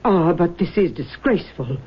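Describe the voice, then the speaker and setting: A woman's voice: lowish voice, lively intonation, moderate pace, husky. An actress playing a stubborn, argumentative woman in a 1970s radio drama, haughty and insistent. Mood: indignant. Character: indignant, stubborn, argumentative sister demanding proof. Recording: dull lo-fi vintage radio recording.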